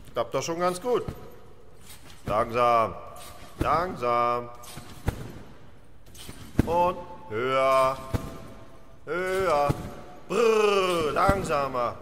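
A man playing a sardonic, exasperated minder coaching his master in a German radio play. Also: fairly high pitch. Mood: alarmed. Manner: coaxing, dry, exasperated. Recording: echoing hall, faint noise.

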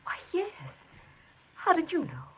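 An actress playing an anxious, earnest young woman in a vintage radio drama, her voice husky and breathy. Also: extremely high pitch, huge pitch swings, unhurried, muffled recording, exaggerated voice. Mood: worried, earnest. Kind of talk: 1950s radio drama acting. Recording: distant vintage radio recording.

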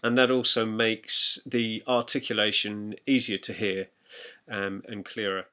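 A man narrating an informal software tutorial in a conversational, explanatory way. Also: lowish voice, little pitch movement, unhurried, faint music behind. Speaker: music production tutor.

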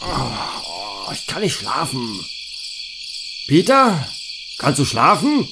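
A man's voice: mid-range voice, wildly swooping pitch, very slow, vocal fry, background noise, exaggerated voice. A man playing a jittery, talkative camper in a self-aware horror-comedy audio play. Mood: uneasy. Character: jittery, talkative male camper and flustered story writer. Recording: music behind the voice.

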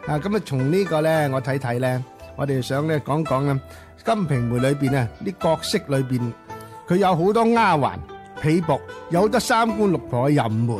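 A man with a Hong Kong accent co-hosting a conversational literary discussion, speaking deliberately with drawn-out phrase endings. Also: a little high, lively intonation. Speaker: co-host of a book discussion segment. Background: music.

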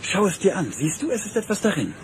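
An actor playing a patient archaeologist uncle in a German radio play, calm and explanatory. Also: male voice, high voice, fast talker, noisy background. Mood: explaining. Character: adult male archaeologist, patient uncle, knowledgeable.

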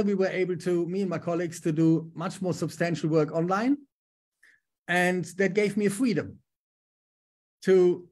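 A middle-aged man with a German accent, a business coach speaking on a video call from home in an upbeat, talkative way. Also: a little high. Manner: upbeat, talkative, frequent ums. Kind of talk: casual interview on a video call. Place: home room with a lamp.

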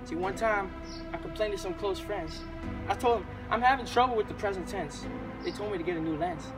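A young man performs spoken word poetry in a high-pitched voice. His delivery is rhythmic and earnest, leaning hard on the rhymes. Music plays underneath him. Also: lively intonation, a little fast. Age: 20s. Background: music bed.

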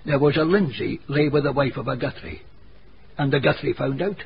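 Guy playing old scottish cobbler in a radio play, creaky voice, wordy gossip, bit muffled. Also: medium pitch, a little fast, close to an everyday voice. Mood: gossipy storytelling. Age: older. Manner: wordy, dialect-rich, pedantic storytelling. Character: venerable Scottish village cobbler, male, learned, gossipy, grave. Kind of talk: radio drama dialogue, storytelling. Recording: muffled radio broadcast, background hiss.

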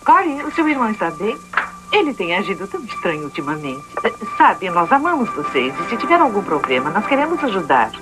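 A woman's voice: medium pitch, big pitch swings, a little fast, lightly acted, poor audio. A woman playing a caring, worried cartoon mother with a Brazilian accent. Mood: concerned. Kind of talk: dubbed cartoon voice acting. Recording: music behind the dubbed voice.

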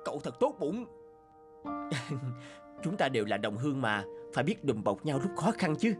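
Lively man voicing a cartoon folk tale. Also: mid-range voice, unhurried. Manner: animated, emotional line delivery. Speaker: voice actor doing all male parts. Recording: music bed under voice.

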